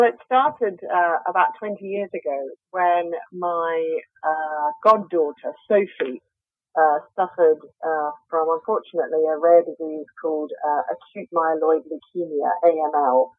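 A woman's voice: low voice, a little slow, no echo. An Australian woman, a company founder, being interviewed and talking with many hesitant fillers. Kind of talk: interview over the phone. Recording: tinny phone line, dry.

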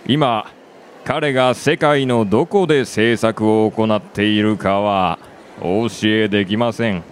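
An actor playing a calm, imperious company chairman in a radio drama, measured and polite but haughty. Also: fairly low pitch, a little hiss or hum. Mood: haughty composure. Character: calm, imperious male company chairman obsessed with human extremes. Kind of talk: Japanese radio drama acting.

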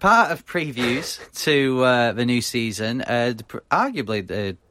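A man's voice: mid-range voice, animated, unhurried, bright, forceful tone. A British man hosting a casual sports podcast, talking with frequent ums and restarts. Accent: British English. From Britain. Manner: hesitant, many ums and restarts. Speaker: podcast host.

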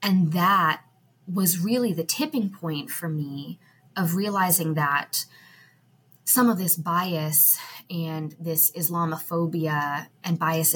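A woman's voice: low-pitched, fairly bright tone, breathy edge. A woman, speaking as a podcast guest, thoughtful, with frequent fillers. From United States. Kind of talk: podcast interview.

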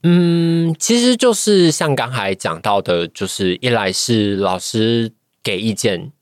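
A woman's voice: very deep voice, animated, slow, no room sound, studio quality. A woman with a Taiwanese Mandarin accent, a podcast guest giving a casual personal account and often searching for words. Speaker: podcast guest, voice acting trainee.

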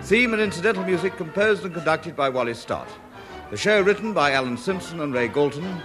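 A man's voice: a little high, animated, fairly quick. A man giving formal closing credits as a 1950s radio announcer. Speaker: programme announcer. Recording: vintage radio, music and applauding crowd behind.

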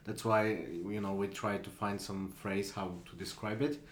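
A man's voice: on the low side, flat delivery, normal speed, roomy sound. A man in his twenties from Slovenia, a student guest on a podcast, talking casually and hesitantly with many fillers. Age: 20s.

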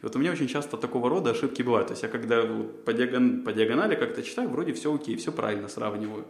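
A man's voice: mid-range voice, rapid-fire, gravelly, echoey room. A man, a podcast co-host, answering interview questions in a rambling way, with many fillers and restarts. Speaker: job candidate, podcast co-host.

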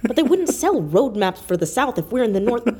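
A woman's voice: a little high, sing-song, brisk, a little mellow, slightly rough. An actress playing a blunt, bossy, impatient woman on the run, brusque and sardonic, in an audio drama. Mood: exasperated.

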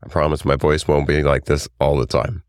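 A man with a very deep, raspy voice, hoarse from a recent illness, talking through his own essay as a podcast host. He speaks earnestly and reflectively, at a measured pace. The sound is dry and close, with no room around it. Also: flat delivery, dry recording. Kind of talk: solo podcast monologue and essay reading.

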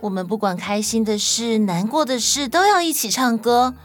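一位女性在儿童动物故事里扮演温柔、真诚又重情义的年轻新娘。. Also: female voice, on the high side, normal speed, natural voice. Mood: earnest, gentle. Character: sweet, loyal young bride in animal fable. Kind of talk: children's audio story acting. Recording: music behind the voice.

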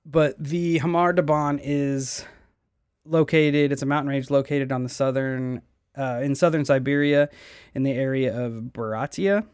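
A man's voice: mid-range voice, fairly even intonation, unhurried, a little mellow, smooth, dry recording, clean studio sound. A man hosting a podcast, casually telling a mystery story, swearing often and stumbling over names. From United States. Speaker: podcast host.

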